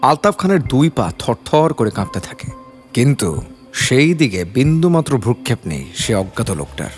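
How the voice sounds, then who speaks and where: A man's voice: mid-range voice, big pitch swings, brisk, soft background music. A man narrating a scripted detective story in a dramatic, suspenseful storytelling style.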